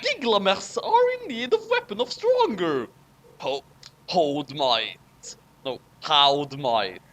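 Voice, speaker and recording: Slow man with wildly swinging pitch. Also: very animated, fairly bright tone. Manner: theatrical, over-acted delivery. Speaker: co-host of a dramatic fanfic reading. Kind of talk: group dramatic reading with casual chat.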